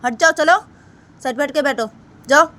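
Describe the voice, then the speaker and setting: A woman's voice: sits high, moderate pace, bright, forceful tone, pitched above the performer's own voice. A woman voicing a stern, bossy aunt in a family story, giving curt, repeated orders. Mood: stern, annoyed.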